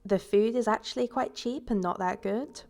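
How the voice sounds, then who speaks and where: Woman narrating her build video, a bit congested, with a gravelly edge and an easygoing, rambling delivery. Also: medium pitch, normal speed, a little mellow. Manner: casual and rambling, with fillers and self-corrections. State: stuffy from a cold. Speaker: game builder and YouTuber. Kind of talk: relaxed voice-over commentary on a building video.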